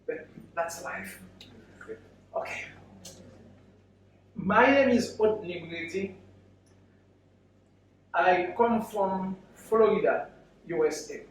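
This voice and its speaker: A middle-aged or older man with a Haitian accent, speaking to an audience in halting, short phrases as a guest speaker. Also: sits high. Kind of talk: personal story told to an audience. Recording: very echoey, distant microphone, faint noise.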